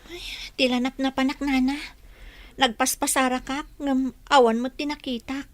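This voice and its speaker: A woman's voice: sits high, flat delivery, moderate pace, lightly acted. A woman with an Ilocano Filipino accent playing a younger woman in a radio drama. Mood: concerned.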